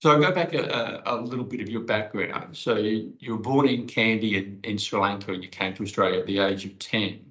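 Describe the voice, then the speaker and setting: Low-voiced, formal male interviewer. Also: fairly quick, few pauses, fairly bright tone. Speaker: interviewer, organisation CEO. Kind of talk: prepared interview questions. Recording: dull, lo-fi audio.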